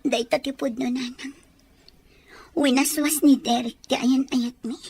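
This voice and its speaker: A bitter young jilted daughter, aching with hurt. The voice is very high and husky, recorded close and dry for a radio serial. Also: female voice, steady tone, a little slow, darkish tone, no echo, heavily acted. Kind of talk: Ilocano radio drama acting.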